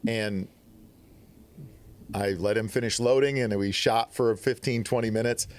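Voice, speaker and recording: A man from the Midwest, telling stories on a podcast in a casual, animated way, acting out quotes. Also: lowish voice, unhurried. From Upper Midwest. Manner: animated storyteller, acts out quotes. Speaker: podcast guest. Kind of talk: casual storytelling.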